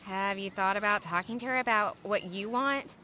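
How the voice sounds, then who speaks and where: A woman playing a teasing, outspoken but supportive young female friend in an audio drama, expressive and blunt. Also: mid-range voice, normal speed, slight background noise, natural voice. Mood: blunt, concerned.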